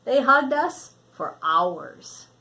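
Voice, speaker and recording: Older lady doing storytime, kinda high voice, really animated, stretches out words for emphasis. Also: extremely slow. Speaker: children's story reader. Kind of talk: read-aloud storybook narration.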